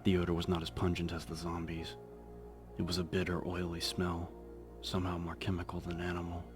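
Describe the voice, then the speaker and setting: A man with a very low voice narrates a horror story. His delivery is measured and suspenseful, held extremely flat in pitch, over a faint bed of music. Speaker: audiobook narrator. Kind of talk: dramatic scripted horror narration.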